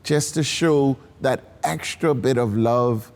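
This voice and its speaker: A man's voice: medium pitch, slow, a little mellow. A man from the Bahamas, a chef speaking emphatically and passionately as an interview guest. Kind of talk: conversational interview answers.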